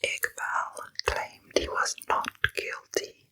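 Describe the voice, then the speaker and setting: A woman narrating true crime in ASMR style, in a hushed, breathy whisper with deliberate storytelling. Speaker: narrator, ASMR channel host.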